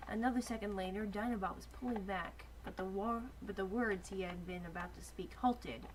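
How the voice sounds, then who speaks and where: A woman's voice: medium pitch, fairly even intonation, a little fast. A woman narrating an informal dramatic reading of a story, with casual asides. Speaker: reviewer reading aloud as narrator. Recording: noisy home recording with clicks.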